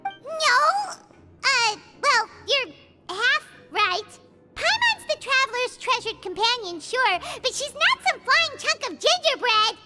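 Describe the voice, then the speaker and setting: A small, cute video game companion girl with a squeaky, excitable voice. She draws her words out slowly with huge swings in pitch, and loud music plays behind her. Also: full character voice. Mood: indignant. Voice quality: squeaky, childlike. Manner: speaks of self in third person, exclamatory.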